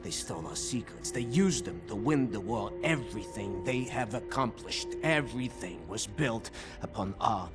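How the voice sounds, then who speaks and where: An actor playing a secretive older engineer of a mystical society, speaking earnestly and often in a whisper. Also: male voice, lowish voice, animated, loud music behind the voice, natural voice. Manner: earnest, hurried instructions. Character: secretive old male inventor, urgent, mystical. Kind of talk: video game cutscene acting. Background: loud game score.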